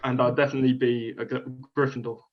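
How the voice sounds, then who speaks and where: Irish male guest, lively and joking, dull lo-fi sound. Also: medium pitch, quick pace. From Ireland. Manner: chatty, jokey, enthusiastic. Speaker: guest, media company co-founder. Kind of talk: casual interview chat. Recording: dull lo-fi audio.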